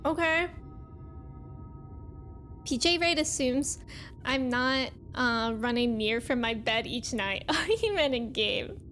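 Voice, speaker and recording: A woman's voice: sits high, slow, pauses often, fairly bright tone, faint music behind. A woman chatting casually and playfully as she livestreams a video game, reacting and greeting viewers.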